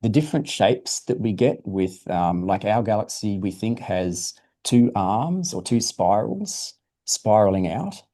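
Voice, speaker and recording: A man with a low, very soft-edged and mellow voice answers science questions. He explains things informally for young listeners, in an encouraging, unforced manner. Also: animated, unhurried, few pauses.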